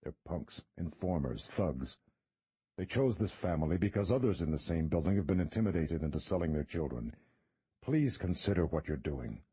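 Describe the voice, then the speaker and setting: Man playing a quiet, steely priest. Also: deep voice, expressive, breathy edge, no echo, heavily acted. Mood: cold. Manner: quiet, measured, contemptuous. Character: calm, steely male priest.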